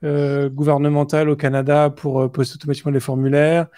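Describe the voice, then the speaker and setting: A man's voice: on the high side, little pitch movement, fast talker, warm tone, smooth. A man hosting a podcast, asking questions in a casual, hesitant way with many fillers. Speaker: podcast host.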